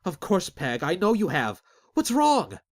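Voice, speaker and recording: A man voicing a frantic young male street thief who shouts in desperation, in an audiobook. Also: high voice, huge pitch swings, a little slow, pitched above the performer's own voice, heavily acted. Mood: pleading.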